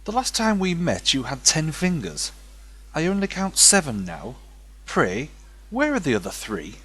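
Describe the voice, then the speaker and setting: An actor playing a stern, suspicious hard-line bishop, theatrical, emphatic and sardonic in an audio drama. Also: male voice, fairly high pitch, wildly swooping pitch, unhurried. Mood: sardonic probing.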